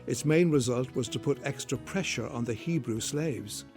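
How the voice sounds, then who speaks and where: A man's voice: medium pitch, normal speed. A man reading scripted narration for a story, in the role of narrator. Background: guitar music.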